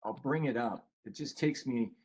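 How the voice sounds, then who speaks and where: A man's voice: mid-range voice, normal speed, a little mellow, slightly rough. An older man presenting a webinar in a casual, conversational way, with a folksy, rambling manner that often interrupts itself. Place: online video call.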